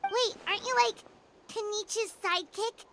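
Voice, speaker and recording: A woman voicing a tiny, childlike companion sprite in a video game, squeaky, excitable, cheeky and easily offended. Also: normal speed, noisy background. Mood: cheeky, annoyed. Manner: squeaky, exclamatory, sassy. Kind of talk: cartoonish video game voice acting. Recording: game music behind the voice.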